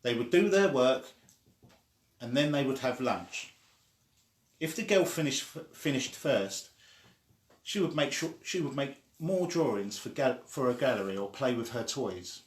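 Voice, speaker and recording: A man reading a children's story aloud in an expressive way, sometimes stumbling and starting again. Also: medium pitch, a little slow. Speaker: story reader. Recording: echoey room.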